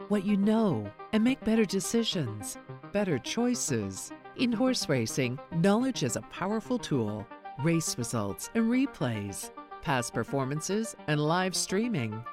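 A woman with a low voice reads a persuasive ad, her pitch moving in lively swings. Clear music plays behind her in a polished voice-over. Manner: smooth, persuasive, rhetorical questions. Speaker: ad announcer. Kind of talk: scripted ad voice-over.

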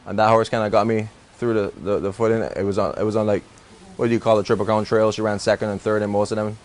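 Low-voiced Barbadian man, quick talk. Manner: relaxed, rambling, many restarts and fillers. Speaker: racehorse trainer. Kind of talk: casual interview.

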